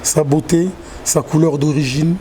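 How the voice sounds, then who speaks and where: Halting Congolese man with a mellow voice. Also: a little high, flat delivery, slight background noise. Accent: Congolese French. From Democratic Republic of the Congo. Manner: halting, repeats words, slow measured delivery. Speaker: guest. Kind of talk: casual interview chat.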